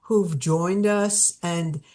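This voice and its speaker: A woman's voice: on the low side, steady tone, very slow, darkish tone. A woman hosting an online gathering over a video call, welcoming people and introducing a guest, with many fillers and restarts. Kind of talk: warm spontaneous welcome and introduction.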